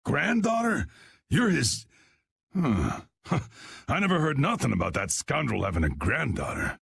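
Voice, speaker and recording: A man voicing a gruff, commanding old guild boss in a video game, folksy, drawling and stubborn, with a gravelly, husky voice. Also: medium pitch, very animated, measured pace, a bit bright, exaggerated voice. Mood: amused, surprised. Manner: folksy, drawling, plain-spoken. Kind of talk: video game dub acting.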